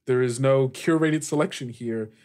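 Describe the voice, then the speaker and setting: A man narrating a tabletop roleplaying game as game master, in a halting, conversational way. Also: medium pitch, darkish tone. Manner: halting, conversational, often saying "like".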